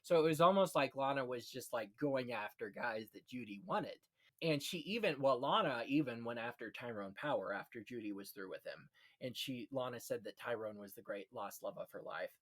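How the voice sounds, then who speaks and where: A man's voice: mid-range voice, expressive, normal speed, fairly bright tone, poor audio. A man hosting a casual film history chat, telling anecdotes in a gossipy, conversational way. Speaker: podcast host.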